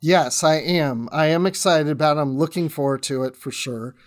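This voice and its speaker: A man's voice: on the high side, normal speed. A man chatting casually as a podcast guest, with a jokey, easygoing way of talking. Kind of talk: casual chat.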